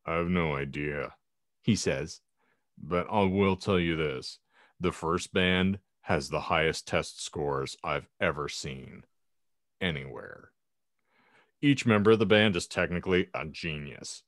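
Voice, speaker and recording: Podcast host, man, lowish voice, dry sound, wordy and mock-formal in panel talk. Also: measured pace, no room sound. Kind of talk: hosting a panel discussion, posing hypotheticals.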